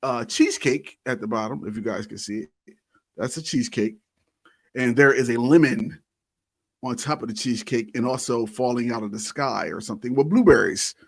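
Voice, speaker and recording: Male podcast co-host, mid-pitched, slangy casual banter, very dry close recording. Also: moderate pace, creaky, husky, no room sound. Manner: informal, slangy, swears casually. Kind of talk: casual banter and beer tasting chat.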